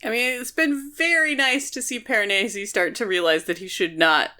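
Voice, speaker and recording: A woman co-hosting a book podcast, talking casually and explaining things in an animated, conversational way. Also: on the high side, normal speed. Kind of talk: casual chat discussing a novel.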